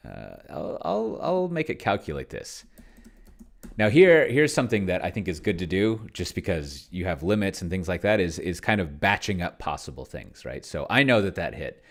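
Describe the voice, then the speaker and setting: A man giving casual, rambling commentary on a solo tabletop game, thinking aloud and muttering to himself as he types. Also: low-pitched. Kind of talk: casual screen-recording commentary, thinking aloud while typing. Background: keyboard typing.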